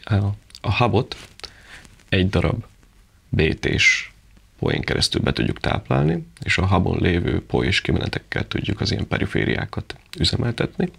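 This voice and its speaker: A man with a low-pitched voice presents products off the cuff. His delivery is heavy with vocal fry and quite husky, and he explains things in an informal, practical manner. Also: sing-song, a bit bright.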